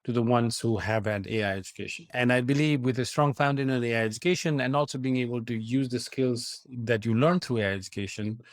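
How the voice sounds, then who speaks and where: A man in his forties from Nepal answers interview questions earnestly, explaining at length and sometimes restarting his sentences. His voice sits in a middle pitch. The sound is dry and close, with no room echo. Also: fast, few pauses. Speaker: guest, AI company founder.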